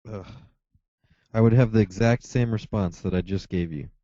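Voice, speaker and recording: A man's voice: fairly low pitch, moderate pace, mellow, dry recording, lo-fi sound. A man arguing in a heated debate, insistent, with frequent stammering restarts. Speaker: atheist caller.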